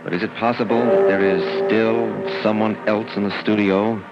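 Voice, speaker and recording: A man's voice: lowish voice, level tone, moderate pace. A man narrating an old radio mystery as announcer in a dramatic, portentous 1940s style. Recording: muffled old radio recording. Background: loud dramatic music.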